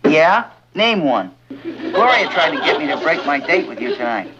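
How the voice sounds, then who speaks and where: A man's voice: on the high side, very animated, normal speed, dull audio, heavy background noise. A young man playing an eager, trusting teenage boyfriend with a breezy, emphatic manner in a vintage TV sitcom.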